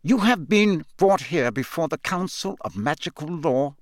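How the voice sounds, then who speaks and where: Man doing stern old judge bellowing, raised and slow, dry room. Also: on the high side, lively intonation, dry recording, pitched above the performer's own voice. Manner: bellowing, clear pronouncements. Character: stern, hate-filled older male judge.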